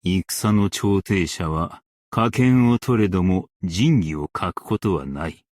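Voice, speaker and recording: A man playing a stern, dignified old-world master craftsman and warrior in a game, speaking in archaic, formal, measured Japanese diction with weighty delivery. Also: sits low, expressive, a little mellow, no echo, studio quality, heavily acted. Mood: solemn. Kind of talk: Japanese game character voice lines.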